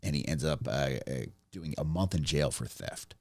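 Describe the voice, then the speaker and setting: Deep deadpan man telling a crime story. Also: moderate pace, gravelly, no room sound. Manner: deadpan, teasing. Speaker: podcast co-host telling a true crime case. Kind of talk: joking true-crime storytelling.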